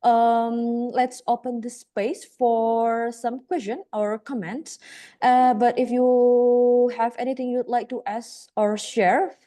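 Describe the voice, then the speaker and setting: A woman's voice: a little high, flat delivery, slow pace, dark tone. A woman from Indonesia with an Indonesian accent, facilitating an online session on a video call, polite with frequent fillers.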